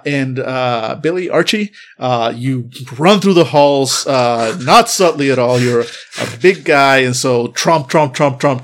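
A relaxed game master talks the players through the scene in a mid-pitched, easygoing voice. He rambles, stops and restarts, and narrates loosely rather than from a script. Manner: rambling, many fillers and restarts, laid-back.

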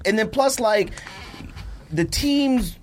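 Animated man bantering over noticeable background noise. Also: sits high, slow. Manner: slangy, emphatic, repeats himself. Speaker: podcast co-host. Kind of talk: casual banter about games and nostalgia.

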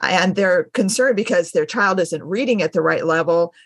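A woman's voice: on the low side, moderate pace, a bit bright, breathy edge, dry recording, studio quality. A middle-aged American woman hosting a casual interview in a conversational style. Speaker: podcast host, teacher.

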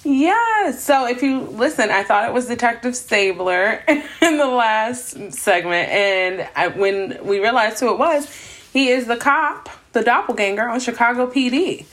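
Higher-voiced American woman, playful podcast guest. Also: lively intonation, a little slow, fairly bright tone. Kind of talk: casual comedic chat.

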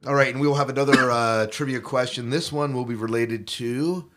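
A man's voice: medium pitch, a little fast, a bit bright. A man hosting a casual fan podcast with jokey, geeky banter. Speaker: podcast host.

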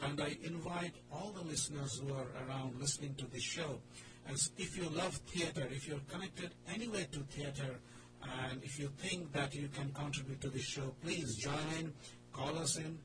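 Indian-accented man hosting over clear background noise. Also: fairly high pitch, normal speed. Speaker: radio drama club host. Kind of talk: loose, informal radio talk show. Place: radio studio with a group in the room. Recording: noisy radio recording with hum.

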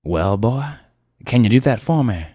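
Man doing a loud, bossy car dealer. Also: lowish voice, lively intonation, normal speed, no room sound, studio quality, pitched above the performer's own voice. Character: boorish overbearing heavyset male car dealer.

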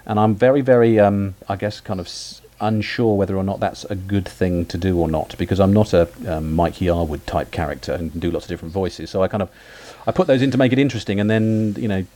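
A man's voice: deep voice, fast talker, hardly any pauses, mellow. A British man, a history podcaster, chatting as a guest over an internet call, full of restarts and fillers. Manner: hesitant, many restarts and fillers. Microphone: remote call audio.

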